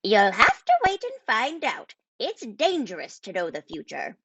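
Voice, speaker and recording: Woman as an old male storybook wizard, cryptic, commanding, slow and portentous, close dry sound. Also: mid-range voice, wildly swooping pitch, a bit bright, breathy edge, dry recording, heavily acted. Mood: cryptic warning.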